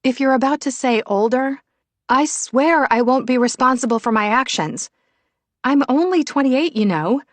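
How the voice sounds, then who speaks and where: A woman's voice: sits high, animated, moderate pace, dry recording, pitched above the performer's own voice. A frazzled woman of about thirty, acted out by a female narrator, feisty and self-deprecating, with emotion close to the surface. She speaks in audiobook dialogue with a dry, close sound. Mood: indignant, defensive.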